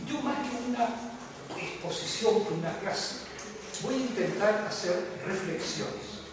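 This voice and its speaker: Older man, Canarian accent, higher voice, reflective rambling lecture, long hall echo, noisy. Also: expressive, unhurried. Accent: Canarian Spanish with Latin American mixing. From Canary Islands. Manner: reflective, discursive, frequent fillers and asides. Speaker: economics professor giving a lecture. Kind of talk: informal university lecture. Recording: distant echoey hall with noise.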